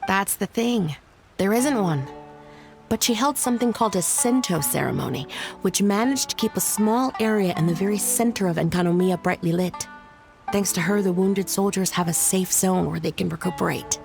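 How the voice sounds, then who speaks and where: Woman playing a creaky, wry shrine subordinate. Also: mid-range voice, moderate pace, over music, natural voice. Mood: serious, reporting. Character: adult female shrine subordinate, wry, teasing yet dutiful. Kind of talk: video game voice acting.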